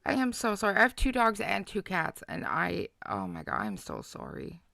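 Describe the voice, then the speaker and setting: A woman's voice: fairly low pitch, huge pitch swings, normal speed, vocal fry, sharp tone, no echo, studio quality. A woman hosting a casual livestream, chatting reactively to her viewers as she comments along. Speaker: livestream host reacting to a clip. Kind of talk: casual live chat commentary.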